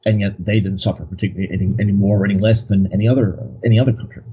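A man's voice: fairly low pitch, fairly even intonation, very fast, warm tone, husky, highs cut off. A man as a guest commentator, talking in a rambling, hesitant way with many fillers. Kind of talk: casual political discussion.

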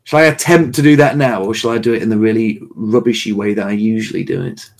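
A man with a slightly hoarse, tired voice chatting casually and haltingly as the host of a livestream. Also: fairly low pitch. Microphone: livestream call microphone.

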